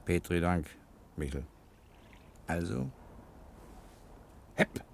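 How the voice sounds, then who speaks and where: An actor playing a cheeky, daredevil gentleman thief with an unhurried, jaunty manner in a 1960s German radio crime drama. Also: low-pitched, expressive, creaky, exaggerated voice. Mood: jaunty, calm.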